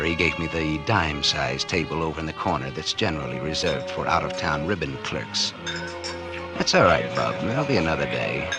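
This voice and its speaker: A man playing a bitter, scheming publisher in a 1940s radio drama, sardonic and slangy, with an American accent and a hard-boiled delivery. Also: extremely low pitch. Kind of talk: 1940s radio acting, first-person noir narration. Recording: old 1940s radio recording with music.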